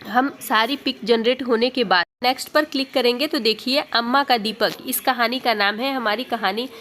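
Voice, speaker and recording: A woman's voice: a little high, normal speed, a bit bright, a little hiss or hum. A woman narrating a step-by-step tutorial as the host.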